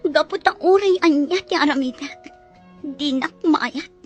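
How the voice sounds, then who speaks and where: A woman's voice: high-pitched, a little slow, soft background music. An actress playing a demanding, jealous wealthy woman who gives orders and pleads. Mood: pleading. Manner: bossy, emotional, dramatic.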